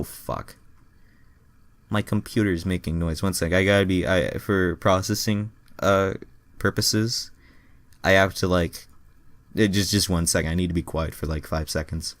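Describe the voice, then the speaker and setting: Man, low gravelly register, casual mock-dramatic reader who swears freely. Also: moderate pace. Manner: profane, exasperated asides. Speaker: reader and commentator. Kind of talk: mock-dramatic reading of crude fan fiction with casual asides.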